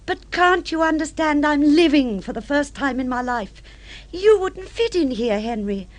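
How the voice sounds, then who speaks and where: Affected, high-voiced social-climbing wife. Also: female voice, animated, normal speed. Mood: exasperated, pleading. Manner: theatrical, gushing, affected. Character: middle-aged social-climbing wife, affected, dreamy, romancing fantasist. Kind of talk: mid-century radio drama acting.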